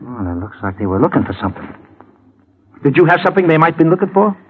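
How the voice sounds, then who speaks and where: A man playing a brisk, impatient insurance boss in a vintage radio drama, brusque and businesslike. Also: a little high, big pitch swings. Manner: brusque, businesslike, fast-talking. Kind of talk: 1950s radio drama acting. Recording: muffled, faintly noisy vintage radio recording.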